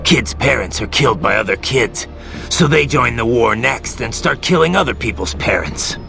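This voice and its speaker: An actor playing a grim, weary, duty-bound cyborg soldier in a video game, with a gravelly voice and brooding, terse manner. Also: male voice, medium pitch, lively intonation, moderate pace, sharp tone, faint music behind, exaggerated voice. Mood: grim, resolute.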